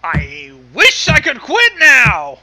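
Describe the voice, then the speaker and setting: A man's voice: very high voice, huge pitch swings, takes it slowly, a crowd in the background. A man bantering casually and teasing fellow readers. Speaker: fan fiction co-reader.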